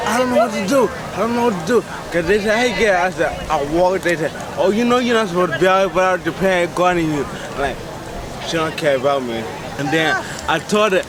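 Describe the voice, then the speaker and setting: A man with a mixed Jamaican and New York accent and a speech impediment, telling his story quickly in a candid street interview outdoors. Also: high voice, noisy background. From United States. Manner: fast, tumbling storytelling. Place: outdoors on a busy city street. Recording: loud street crowd behind.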